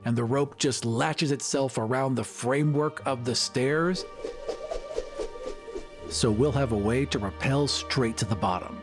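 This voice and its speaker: A man chatting casually as a player in a tabletop roleplaying game, describing his character's moves. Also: medium pitch.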